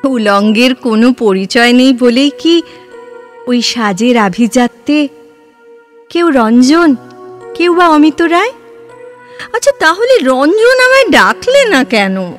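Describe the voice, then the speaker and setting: A woman playing a girlish, searching, idealistic young woman in love in a literary audio drama, with halting, intense delivery. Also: high voice, big pitch swings, soft background music, exaggerated voice. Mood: curious, puzzled.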